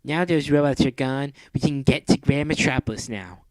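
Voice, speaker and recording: A man voicing an upbeat, bold young woman speaking in a raised, excited way. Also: mid-range voice, normal speed, no echo, voice pushed up, close to an everyday voice.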